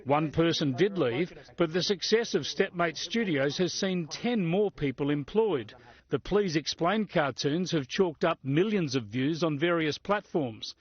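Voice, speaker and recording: Australian male reporter, higher-pitched, scripted narration. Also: lively intonation, unhurried, husky, dry recording, dull audio. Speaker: television reporter.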